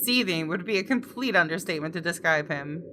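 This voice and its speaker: A woman's voice: fairly low pitch, expressive, a little fast, noisy background. A woman hosting a gaming video, reading a visual novel aloud expressively and adding casual asides.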